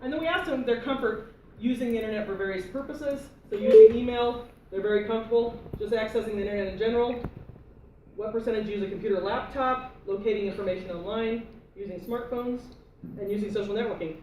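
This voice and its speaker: A woman's voice: on the high side, quick pace, dark tone. A woman presenting survey results to a meeting, chatty and laughing often. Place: meeting room. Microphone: room mic from afar. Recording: roomy, distant, noisy room recording.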